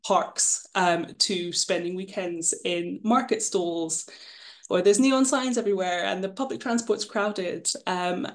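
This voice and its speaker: A woman in her twenties, from Scotland, casually presenting her path as an illustrator, chatty and self-deprecating, with frequent ums. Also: lowish voice. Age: 20s. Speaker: guest illustrator.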